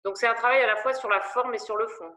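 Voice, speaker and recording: A woman's voice: fairly high pitch, fairly even intonation, fast talker, pushed and bright. A woman interviewing a guest for a women's network, asking questions with many hesitations. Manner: hesitant, many fillers and restarts.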